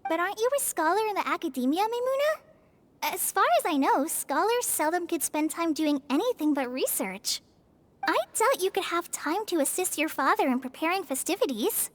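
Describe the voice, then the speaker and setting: A woman's voice: extremely high pitch, lively intonation, normal speed. An actress voicing a kind, sincere, encouraging young girl in a video game cutscene, with an earnest, reassuring manner. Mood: curious. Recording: game music under the voice.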